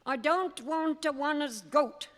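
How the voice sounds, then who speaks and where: A woman playing an excitable Italian immigrant goat seller in a radio play, with an Italian accent and emphatic broken English. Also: high voice, unhurried. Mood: stubborn. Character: excitable Italian immigrant woman selling her beloved goats. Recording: echoey hall.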